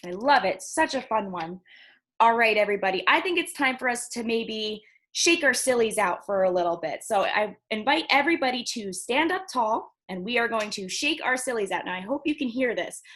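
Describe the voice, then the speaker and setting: A woman librarian reading picture books aloud to children, expressive and encouraging, often putting questions to her young listeners. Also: a little high, a little fast.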